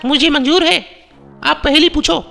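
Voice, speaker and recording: Man as a very high-voiced earnest village girl. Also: moderate pace, creaky, sharp tone, full character voice. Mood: resolute. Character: earnest, brave village girl lost in forest. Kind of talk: animated moral story dialogue. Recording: long reverb, music bed.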